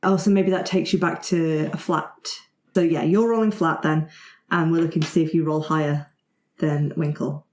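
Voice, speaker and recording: A woman runs a tabletop game as game master, her voice sitting a little low. She narrates scenes and asks players for their actions. The room adds clear echo. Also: fairly even intonation, moderate pace, darkish tone. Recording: roomy, echoey recording.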